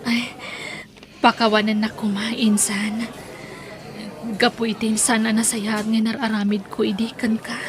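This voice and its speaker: A woman's voice: a little high, level tone, unhurried, pauses often, a crowd in the background, close to an everyday voice. A woman playing a humble, struggling young mother in an Ilocano audio drama. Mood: apologetic, humbled.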